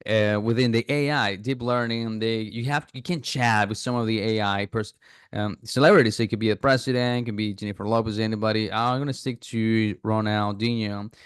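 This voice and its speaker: A man's voice: fairly low pitch, fairly even intonation, fairly quick, smooth, no echo, clean studio sound. A man casually talking viewers through signing up for an app, thinking aloud with fillers and restarts. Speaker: app reviewer. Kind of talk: casual screen-recording walkthrough.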